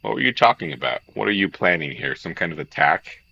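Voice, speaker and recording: Man voicing a deep, gravelly, hostile dragon. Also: expressive, brisk, sharp tone, lo-fi sound, full character voice. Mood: accusing, scornful. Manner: accusatory, threatening. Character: big, suspicious, hostile, menacing male dragon.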